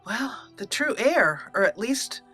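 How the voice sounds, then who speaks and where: A woman hosting a let's play, telling her character's story over gameplay with drawn-out emphasis. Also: fairly high pitch, big pitch swings, pushed and bright, slightly rough. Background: faint game music.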